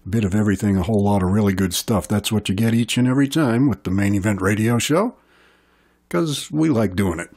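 A man's voice: fairly low pitch, brisk, darkish tone, husky. A man hosting a radio music show, introducing songs in an easygoing, chatty way.

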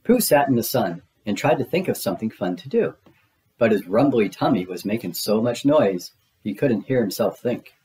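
A man's voice: lowish voice, expressive, normal speed, dark tone. A man reading a children's storybook aloud as its narrator.

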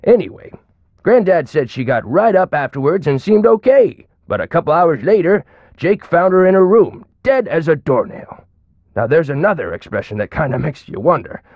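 A man's voice: a little high, no room sound, highs cut off, heavily acted. An actor playing a folksy, chatty old man in his nineties with an American accent, rambling through stories in a video game. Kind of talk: video game character acting.

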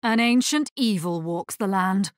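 A woman playing a grave, resolute necromancer hero in a video game, husky-voiced, speaking in a measured, solemn and terse way. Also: mid-range voice, expressive, no echo, clean studio sound, close to an everyday voice. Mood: firm, guarded. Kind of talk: scripted video game character lines.

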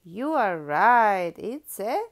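Slow, very animated woman reading to children. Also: medium pitch. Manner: expressive, drawn-out key words, teacherly prompting. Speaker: children's storytime host. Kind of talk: read-aloud picture book for young children, with questions.

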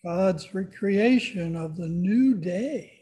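A man in his sixties, a pastor leading worship and prayer in a church, with measured, solemn phrasing. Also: high voice, lively intonation, warm tone. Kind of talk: liturgical prayer and service leading. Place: church sanctuary.

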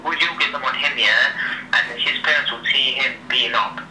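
A man's voice: mid-range voice, normal speed. Young man, slangy repetitive phone chat, echoey speakerphone, noisy. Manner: repetitive, slangy, tags sentences with "yeah" and "innit". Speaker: phone call participant. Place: phone speaker held up in a room.

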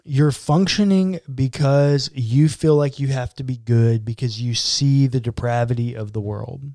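A young man, a pastor, talking on a podcast in a measured, earnest and reflective way. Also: mid-range voice, warm tone, no echo, studio quality. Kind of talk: casual chat. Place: small home studio room. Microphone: boom-arm podcast mic.

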